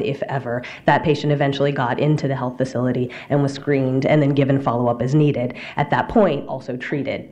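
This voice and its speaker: A woman's voice: dark tone, muffled recording. A woman physician giving a conference slide presentation, explaining at length with fillers and restarts. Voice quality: low voice. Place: conference room.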